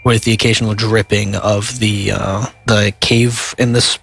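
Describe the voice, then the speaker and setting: A young man with a nasal voice, narrating a tabletop game as game master, halting and filling pauses with "uh" and "like". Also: lowish voice, level tone, vocal fry, fairly bright tone, slight background noise. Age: young adult.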